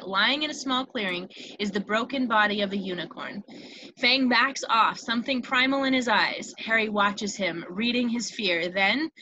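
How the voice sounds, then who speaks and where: A woman reading scripted stage directions as narrator of a table read. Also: fairly high pitch. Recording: clear steady background noise.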